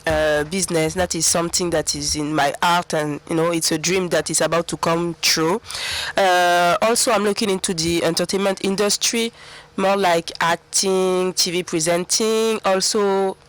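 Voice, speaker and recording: A woman's voice: on the low side, fairly even intonation, normal speed, poor audio. A woman with a sweet voice, an earnest guest on a radio interview. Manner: soft-spoken, earnest, sweet voice. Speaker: reality show ex-contestant guest. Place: radio studio.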